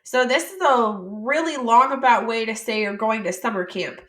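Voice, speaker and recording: A woman from the United States teaching French vocabulary, saying each word slowly and then explaining it in casual English. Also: a little high, a bit bright, roomy sound. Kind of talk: vocabulary lesson.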